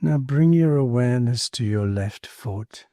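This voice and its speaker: A man leading a guided meditation in a calm, soothing manner, leaving space between short phrases. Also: medium pitch, unhurried, dry recording, clean studio sound. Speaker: meditation teacher. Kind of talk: guided body scan meditation.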